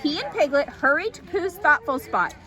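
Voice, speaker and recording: A woman reading a children's story aloud as an expressive storytime narrator. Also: high-pitched, moderate pace, crowd noise.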